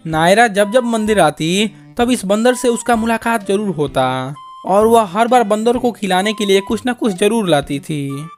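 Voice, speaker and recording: Woman narrator, mid pitch, reading a cartoon story script, music playing behind.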